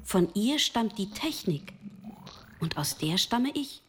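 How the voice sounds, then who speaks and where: A woman playing a lucid, patient machine in a literary radio play, lecturing the listener directly and deliberately. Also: medium pitch, big pitch swings, a little hiss or hum. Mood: insistent, lecturing. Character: love-capable female machine, lucid, patient, lecturing philosopher.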